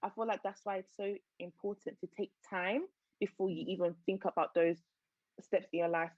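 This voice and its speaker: A woman's voice: medium pitch, fairly even intonation, normal speed, no echo. A young woman hosting a casual podcast chat, earnest and reflective as she shares her views. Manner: earnest, reflective, speaks of faith. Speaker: podcast host. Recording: dull, dry lo-fi podcast audio.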